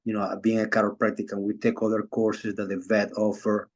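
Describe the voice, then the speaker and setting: Chiropractor from Venezuela, male; low even voice, hesitant with lots of fillers. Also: fairly quick. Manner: hesitant, many fillers and restarts. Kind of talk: casual video call chat. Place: Florida.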